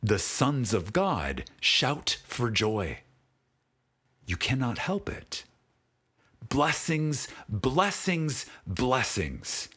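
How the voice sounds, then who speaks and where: A man narrating a spiritual audiobook in a dramatic, solemn way, measured and with long pauses, his voice husky and breathy. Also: medium pitch, very animated, sharp tone.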